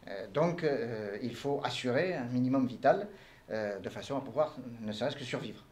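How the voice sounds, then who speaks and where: Older male guest, formal and measured, middle pitch, careful wording. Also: darkish tone. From Tunisia. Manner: formal, measured, elaborate phrasing with many hesitations. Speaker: entrepreneur guest. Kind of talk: interview answers.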